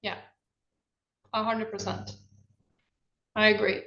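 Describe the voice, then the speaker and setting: A woman co-hosting a casual podcast, chatty and animated, laughing easily. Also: mid-range voice, takes it slowly. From Brazil. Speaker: podcast co-host. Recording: roomy, dull lo-fi sound.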